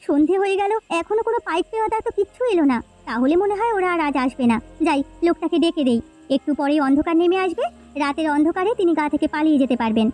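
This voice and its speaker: A woman voicing a kindly, concerned village housewife in a cartoon folktale, earnest and expressive. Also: very high voice, fast, faint music behind. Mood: earnest, hopeful. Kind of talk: Bengali cartoon folktale voice acting.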